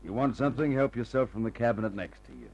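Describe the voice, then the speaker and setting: An actor playing a fat, oily, chuckling gambling boss in an old-time radio crime drama, theatrical and ingratiating. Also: male voice, medium pitch, expressive, fast, creaky, darkish tone, muffled recording, soft background music. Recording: noisy old radio recording with hum.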